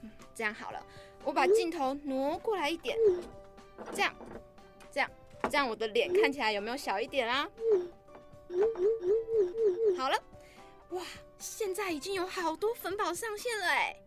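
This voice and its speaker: Bubbly, vain young livestream saleswoman played by a woman, radio drama; high, bright, cutesy and gushing, music underneath. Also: sing-song, moderate pace. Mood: sweet sales pitch.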